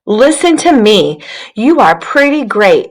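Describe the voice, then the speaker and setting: Storybook twig, female, eager and encouraging, unhurried pace, played by a woman reading to children. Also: a little high, sing-song, exaggerated voice. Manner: urging, upbeat. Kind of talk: children's read-aloud character voice.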